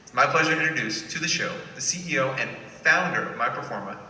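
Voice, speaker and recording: A man reading a scripted, dramatic introduction for a speaker, in a polished and measured promotional delivery. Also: mid-range voice, expressive, bright, forceful tone. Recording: echoey playback in hall, distant, faint noise.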